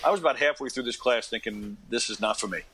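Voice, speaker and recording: An American man in his fifties, an interview guest, talking casually in a rambling, self-deprecating way and often clearing his throat. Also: medium pitch, fast, bright, forceful tone. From Long Island, New York, United States. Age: 50s. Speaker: interview guest, actor and comedy performer. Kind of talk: casual conversational storytelling.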